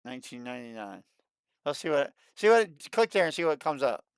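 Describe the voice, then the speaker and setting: A man in his late thirties hosting a livestream, chatting casually and excitedly, and often repeating himself. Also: mid-range voice, brisk, clear, resonant tone, no room sound, clean studio sound. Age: 30s. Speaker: livestream host. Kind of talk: casual chat.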